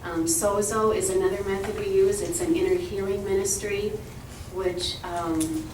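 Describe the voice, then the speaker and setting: Soft-spoken woman, reading a presentation in an echoey, noisy room. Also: medium pitch, steady tone, moderate pace. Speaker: ministry representative. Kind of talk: prepared presentation. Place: meeting room. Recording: roomy, distant, noisy room recording.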